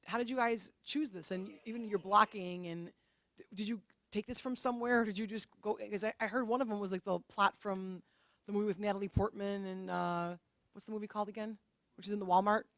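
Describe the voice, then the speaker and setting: A woman's voice: medium pitch, fairly even intonation, dry recording. A woman in an audience asking casual questions off the cuff, often interrupting and restarting herself. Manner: halting, self-interrupting.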